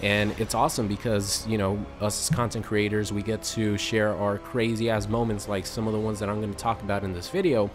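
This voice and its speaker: A man's voice: fairly low pitch, level tone, brisk, few pauses, faint music behind. Casual young man with a sore throat. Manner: casual, rambling, frequent fillers and swearing. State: sore throat, slightly raspy. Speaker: gaming YouTuber. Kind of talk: casual chat to camera.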